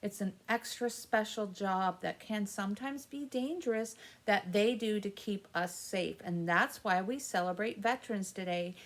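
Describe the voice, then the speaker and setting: A woman hosting a children's story time, explaining things in simple, encouraging words addressed to young kids. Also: medium pitch. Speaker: library story time host.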